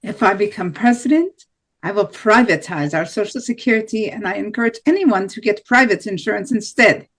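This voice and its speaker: A blunt, hardline right-wing woman , acted by a woman, runs for president in a stage-play debate. Her middle-pitched voice lays out each position in flat statements, as written lines read aloud. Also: brisk, close to an everyday voice. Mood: firm.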